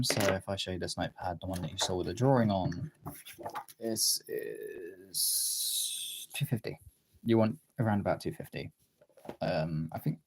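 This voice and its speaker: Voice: low voice, background noise. A livestream host with a congested, tired voice, chatting casually and informally to viewers while drawing, rambling and swearing now and then.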